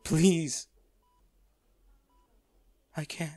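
A man's voice: a little high, steady tone, mellow, no echo. Audio roleplay lead: male actor as wealthy hard-hearted man grieving his love, emotional, faltering, intimate, very dry sound. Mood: tender, pleading. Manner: halting, pleading, emotional delivery. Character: rich, ruthless man softened by love, grieving at bedside.

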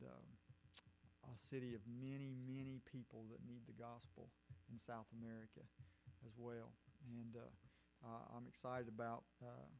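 A middle-aged man, a pastor speaking informally to his congregation from the pulpit in a halting, folksy way. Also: medium pitch, vocal fry, a little mellow. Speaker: church pastor hosting a missions conference. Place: church sanctuary, at the pulpit. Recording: faint hum and background noise.

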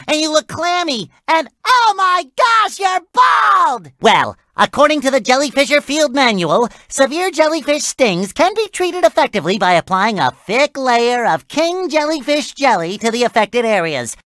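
A cheerful little cartoon sea sponge for a video game. The voice is nasal, squeaky and high, leaping up and down with gushing, naive eagerness. The recording is dry and close. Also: big pitch swings, moderate pace, hardly any pauses, pushed and bright, no room sound, heavily acted. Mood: excited, friendly. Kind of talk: video game character dialogue.

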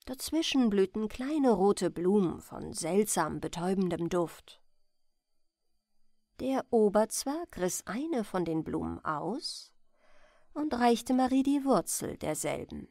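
Expressive, unhurried woman storyteller. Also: medium pitch, no echo, studio quality. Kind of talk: bedtime fairy-tale audiobook narration.

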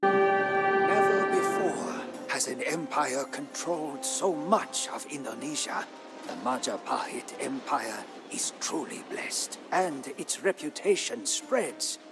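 An actor playing a proud, ambitious, ageing Majapahit minister in a game, narrating his story in a solemn, theatrical, deliberate manner. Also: a little high, lively intonation. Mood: proud, resolute. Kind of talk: dramatic scripted game narration in first person. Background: background music.

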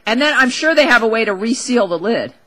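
A woman's voice: on the high side, expressive, fairly quick, fairly bright tone. A woman hosting a talk show, giving a casual, opinionated monologue in a wry, emphatic, conversational way into a close studio microphone.

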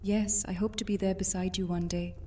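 A woman's voice: mid-range voice, little pitch movement, fairly quick, warm tone, natural voice. A woman playing a female Roman soldier in a fantasy audio drama. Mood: companionable. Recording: slightly distant, faint noise.

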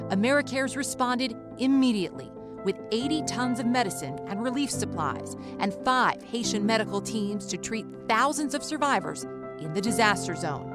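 Woman narrating over loud music. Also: on the high side. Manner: steady, informative news-style read. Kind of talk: scripted documentary narration.

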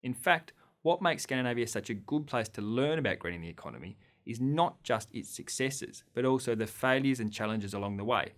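A man in his thirties from Australia, presenting a scripted lecture to camera in a studio with an upbeat, clear delivery. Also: mid-range voice, lively intonation, a little fast, hardly any pauses. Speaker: course lecturer. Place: studio against a green screen.

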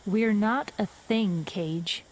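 Woman playing a tough, scornful game fighter, medium pitch. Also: slow, a little hiss or hum, close to an everyday voice. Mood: exasperated, sarcastic. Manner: curt, sarcastic, dismissive. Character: tough, no-nonsense, scornful female fighter, adult. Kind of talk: video game character dialogue.

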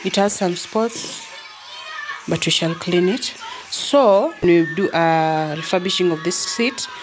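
A woman from Kenya with a Kenyan accent narrates a casual house tour in an unhurried, repetitive way, as if pointing things out. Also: fairly low pitch, music in the background. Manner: unhurried, repeats phrases while pointing things out. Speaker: vlogger. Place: indoors, living room of a family home. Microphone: handheld phone or camera held by the speaker.

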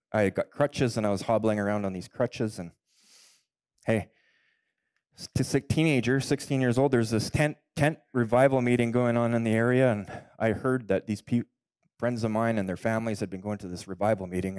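A man, a pastor telling his own story in a sermon, building to loud, emphatic peaks. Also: on the low side, no room sound. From Canada. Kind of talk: sermon with personal testimony. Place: church stage, indoors. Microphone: headset mic.

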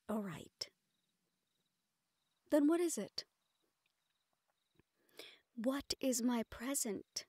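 A woman playing a gentle, playfully reproving fantasy sweetheart in a romantic audio roleplay, speaking tenderly in formal phrases. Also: on the high side, slightly rough, dry recording, studio quality, exaggerated voice. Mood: playful scolding. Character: gentle, playfully scolding fantasy woman courting a dragon general.

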